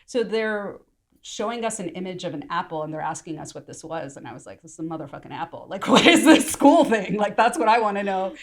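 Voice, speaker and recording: A woman's voice: mid-range voice, lively intonation, brisk. A young adult woman from the United States, casually and animatedly telling a story as a guest in a roundtable chat. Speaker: podcast guest.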